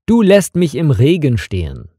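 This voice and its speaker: A man's voice: on the high side, wildly swooping pitch, moderate pace, mellow, slightly rough, dry recording, studio quality. A man narrating scripted stories for language learners, reading carefully and with expression. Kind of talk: slow scripted narration with acted dialogue.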